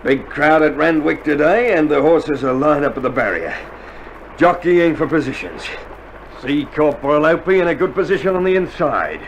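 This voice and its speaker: Wry seasoned Aussie soldier, noisy recording. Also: male voice, lively intonation, moderate pace. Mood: excited commentary. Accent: Australian. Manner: slangy, wry banter. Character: seasoned older Australian infantry soldier, wry and gruff. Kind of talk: 1960s radio drama acting. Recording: noisy old vinyl radio drama, battle rumble.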